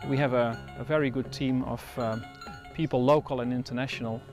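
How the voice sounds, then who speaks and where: Male center director, gravelly tone, measured and hesitant delivery, music bed underneath. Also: medium pitch, fairly even intonation. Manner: thoughtful, frequent pauses and restarts. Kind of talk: interview.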